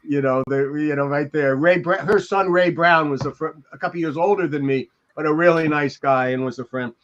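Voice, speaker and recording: An older American man on a podcast, telling anecdotes and reading from a memoir in a halting, reflective way. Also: a little high. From Beverly Hills, California. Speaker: podcast co-host. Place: indoors at home, on a video call. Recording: dull lo-fi video call audio.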